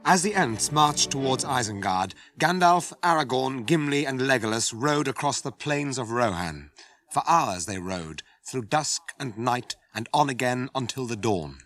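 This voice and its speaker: A man's voice: medium pitch, lively intonation, measured pace, fairly bright tone. A British man delivering scripted dramatic narration for a fantasy radio play.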